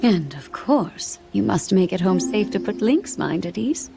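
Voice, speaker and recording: An actress playing a kind, wise, motherly queen in a fantasy game, gentle and reassuring. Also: mid-range voice, lively intonation, unhurried. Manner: gentle, reassuring, breathy at times. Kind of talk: cinematic fantasy game acting. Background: music.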